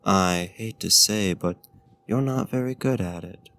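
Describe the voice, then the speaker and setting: Male actor as seductive vampire host, very slow, creaky voice, formal archaic courtesy, gentle menace, intimate ASMR roleplay. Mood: coaxing, menacing. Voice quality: low, gravelly.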